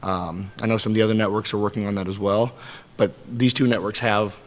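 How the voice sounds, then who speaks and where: A hoarse man speaking on a conference panel as an affiliate coordinator, in an informal manner and often clearing his throat. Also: on the low side, fairly even intonation, brisk. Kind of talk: conference presentation. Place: large conference hall. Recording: reverberant conference hall.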